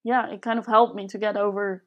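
A woman's voice: mid-range voice, little pitch movement, brisk, muffled recording. A young woman from Switzerland speaking as a guest in a casual interview, chatty and giggly as she thinks aloud. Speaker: podcast guest.

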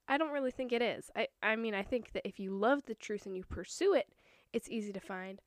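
A young woman hosting her own podcast, talking in a chatty, earnest way with a voice that is hoarse from a cold. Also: a little high, a little fast, no echo. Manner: earnest, chatty, a little nervous. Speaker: podcast host. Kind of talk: casual solo podcast talk.

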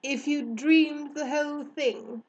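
A woman's voice: sits high, steady tone, a little mellow, lo-fi sound, voice pushed up. A woman voicing a haughty magical alien hare in a storybook, with a pompous, emphatic way of talking. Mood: matter-of-fact. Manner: pompous, drawn-out emphatic delivery.

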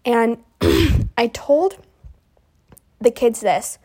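A woman's voice: on the high side, lively intonation, drawn-out words, warm tone. A young woman in her teens rambling through casual stories in a chatty, slangy, easily exasperated way. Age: teenager. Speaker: podcast host.

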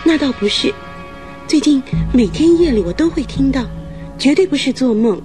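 An actress playing a troubled young bride-to-be, uneasy and insistent, in a radio drama. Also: female voice, sits high, animated, moderate pace. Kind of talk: Mandarin radio drama acting. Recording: radio drama with music behind.

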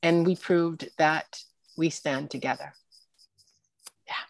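A woman speaking with a low, close, dry sound. She answers interview questions earnestly and expressively, and she often ends her points with a quick "right?". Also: fairly even intonation, vocal fry, no echo, low-quality recording. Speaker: film festival organizer.

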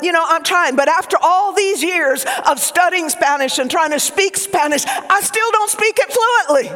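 A man from Oklahoma with a Southern accent, preaching a fervent sermon as pastor, rising to shouts and falling to hushed whispers. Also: very high-pitched, long reverb tail. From Oklahoma, USA.

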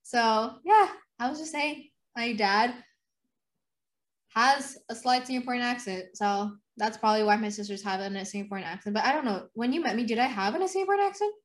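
A woman's voice: a little high, brisk. A young woman in casual chat with a friend, rambling with restarts and laughing often.

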